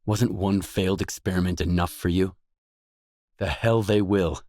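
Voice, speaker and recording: An actor playing a wary, world-weary stalker, the blunt protagonist of a video game, in terse acted dialogue. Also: male voice, low voice, fairly even intonation, normal speed, a little mellow, no room sound, studio quality, natural voice. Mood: probing, wary.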